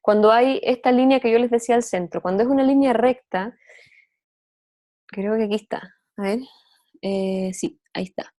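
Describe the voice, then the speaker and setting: A woman's voice: a little high, normal speed, dark tone, no echo, clean studio sound. A woman teaching an informal class on patient assessment, talking conversationally and often checking in with her students. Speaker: Ayurveda therapy teacher.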